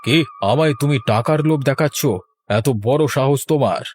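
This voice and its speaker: A man voicing a stern, principled middle-aged village landowner in a cartoon, speaking in a measured, formal way. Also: medium pitch, a little mellow, faint music behind, natural voice. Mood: stern, indignant. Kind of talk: cartoon voice acting.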